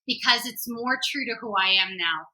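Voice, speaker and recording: Bright-voiced chatty woman, laughing easily. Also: fairly high pitch, normal speed. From Canada. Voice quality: soft voice with slight hoarseness. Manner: chatty, laughs often, sings snippets. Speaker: country-rock singer, interview guest. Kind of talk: casual interview chat.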